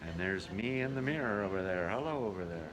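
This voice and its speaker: A man's voice: on the low side, lively intonation, brisk, crowd noise. A man giving a chatty, rambling video diary as a travelling entertainer. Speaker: travelling musical entertainer and vlogger. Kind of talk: casual video diary chat.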